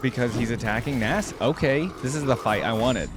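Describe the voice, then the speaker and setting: A man's voice: medium pitch, moderate pace, background noise. A man giving casual, informal reaction commentary as the host of a gaming channel, thinking aloud as he goes.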